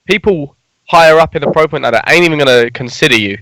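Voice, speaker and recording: A man's voice: on the high side, fast, a bit bright, dry recording, low-quality recording. A young man chatting casually on a gaming podcast, hesitant and hedging with frequent restarts. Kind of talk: casual esports podcast chat.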